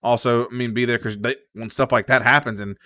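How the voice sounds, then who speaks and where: A young man chats casually on a podcast, wandering through his stories with plenty of fillers and restarts. His tone is bright and forward. The sound is dry and close to the mic. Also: mid-range voice, fairly even intonation, a little fast, no echo. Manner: rambling storyteller, many fillers and restarts. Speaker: podcast co-host. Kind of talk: casual chat.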